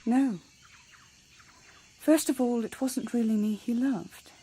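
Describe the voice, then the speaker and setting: An actress playing a cool, self-possessed young woman in a radio drama, with a hushed, candid manner. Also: a little high, steady tone, slow pace, slightly rough. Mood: rueful. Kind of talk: intimate radio drama acting.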